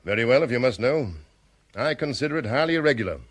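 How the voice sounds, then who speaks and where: A man's voice: medium pitch, lively intonation, fast, lightly acted. A man playing a stiff, sarcastic police superintendent in a vintage radio drama, curt and reproving.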